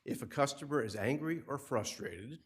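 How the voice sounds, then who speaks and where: A man's voice: mid-range voice, animated, moderate pace, slightly rough. A man arguing an appeal before judges in a courtroom, speaking emphatically at a podium mic and often repeating words as he quotes case law. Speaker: appellate attorney. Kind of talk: oral argument.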